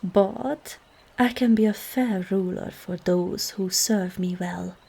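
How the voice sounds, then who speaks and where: Woman acting as a demon queen, husky, dark soft tone, slow and commanding, seductive, whispery ASMR fantasy roleplay. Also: medium pitch, exaggerated voice. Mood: persuasive, regal. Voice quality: breathy, husky. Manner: slow, deliberate, formal, often dropping into whispers.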